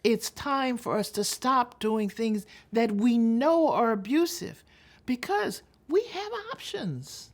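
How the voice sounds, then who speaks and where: An older American woman from Texas giving a storytelling advocacy talk, speaking deliberately and emphatically with dramatic pauses. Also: on the high side, very animated. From Texas, United States. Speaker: public speaker.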